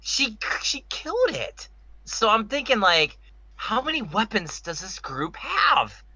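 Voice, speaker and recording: Bright-voiced man, very animated delivery. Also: high voice, slow, no room sound. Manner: enthusiastic, emphatic. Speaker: podcast co-host. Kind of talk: casual chat.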